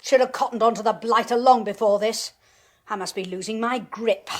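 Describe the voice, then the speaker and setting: An actress playing a sardonic middle-aged medium and former stage performer, with a British accent and a dry, flamboyant way of talking. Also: female voice, a little high, normal speed, close to an everyday voice. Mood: bitter. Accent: British English. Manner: sharp-tongued, dry, flamboyant. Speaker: clairvoyant. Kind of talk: 1980s radio drama acting.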